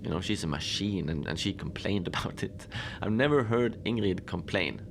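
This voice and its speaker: Over a noisy ambient bed comes an actor as a quirky male manager of a remote polar research station. His voice sits very low with a gravelly fry. He rambles conversationally and deadpan, full of hesitant asides, as if logging his shift for an audio drama. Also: moderate pace. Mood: bemused, chatty. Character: chatty, wry male Antarctic research facility manager, quirky and folksy. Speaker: host character of an audio drama, facility manager. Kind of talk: conversational monologue, log-style audio drama.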